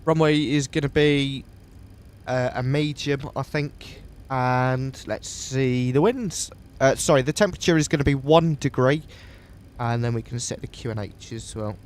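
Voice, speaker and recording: A man with a sniffly voice from a coming cold, calmly thinking aloud step by step as he streams a flight simulator session. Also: medium pitch, measured pace. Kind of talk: casual live walkthrough of a flight simulator.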